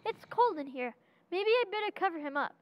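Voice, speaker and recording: A woman's voice: very high-pitched, animated, brisk, highs cut off, very noisy. A woman playing a casual, dismissive young son in old-time radio comedy, offhand and boyish. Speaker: radio drama actor.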